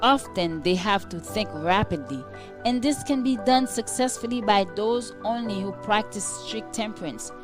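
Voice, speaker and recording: A woman reading a scripted devotional in a measured, formal, earnest manner. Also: medium pitch, expressive, music in the background. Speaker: devotional reader.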